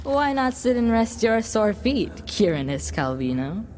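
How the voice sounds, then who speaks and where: An uncanny young boy, voiced by a man in a horror storybook, sweetly coaxing. The voice is high and lilting, with a sinister invitation in it. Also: very animated, measured pace, darkish tone, slight background noise. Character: uncanny smiling golden-haired boy, sweetly sinister peddler.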